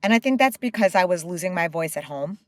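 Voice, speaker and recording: A woman's voice: on the low side, lively intonation, no echo, studio quality. A middle-aged woman, a company co-founder, speaking as an interview guest. She talks openly and with feeling, and she often stops and restarts her sentences. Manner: open and emotional, with halting restarts. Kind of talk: candid interview talk.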